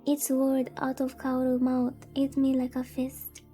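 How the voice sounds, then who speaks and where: A woman's voice: sits high, monotone, measured pace, warm tone, soft background music. A woman voicing a naive young visual-novel heroine who narrates her own story in an earnest, literary way. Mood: shaken, wondering.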